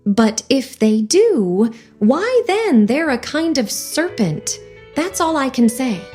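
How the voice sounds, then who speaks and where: A woman's voice: big pitch swings, slow pace, a little mellow, soft background music, heavily acted. A woman voicing a fretful, contemptuous female pigeon that shrieks and sobs its complaints, in a storybook reading. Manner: shrill, sobbing complaints.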